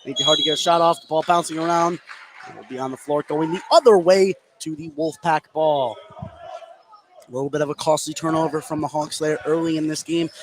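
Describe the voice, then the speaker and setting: An American man giving a running, descriptive live radio play-by-play of a basketball game. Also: on the high side. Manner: running, descriptive call of the action. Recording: loud gym noise behind live broadcast. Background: noisy indoor basketball gym.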